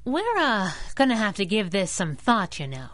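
Lively, feisty crime-fighting sidekick. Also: female voice, medium pitch, moderate pace. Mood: wry. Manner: brash, slangy, punchy. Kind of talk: 1930s-style radio drama acting.

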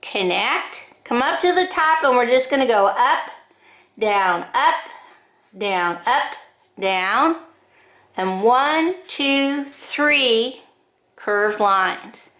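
Slow, high-voiced woman teaching drawing. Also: lively intonation, fairly bright tone. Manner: short, deliberate phrases with frequent pauses. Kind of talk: step-by-step drawing instruction. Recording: roomy, echoing indoor sound.

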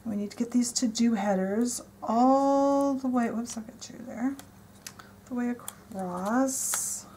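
A woman's voice: on the high side, takes it slowly, warm tone, slight background noise. A woman casually narrating as she decorates her planner, chatty and enthusiastic, thinking aloud as she works at a desk. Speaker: planner vlogger. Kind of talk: casual show-and-tell narration. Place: indoors at a desk.

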